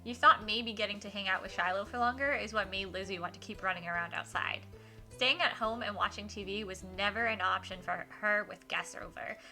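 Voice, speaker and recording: A woman livestreaming a game, switching between informal chat with viewers and expressive read-aloud in a giggly, animated manner. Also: medium pitch, brisk, soft background music. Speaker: livestreamer hosting a visual novel playthrough.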